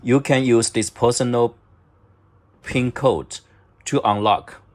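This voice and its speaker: A man narrating step-by-step instructions in halting, short clipped phrases, as a product demonstrator. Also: mid-range voice, steady tone, a little mellow. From China.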